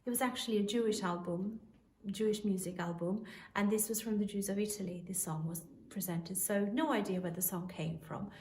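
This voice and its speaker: A woman's voice: medium pitch, fairly even intonation, brisk, a little mellow. A woman from Albania with an Albanian accent, a musician informally introducing her own performance. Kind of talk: informal spoken introduction to camera. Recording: roomy echo, distant mic.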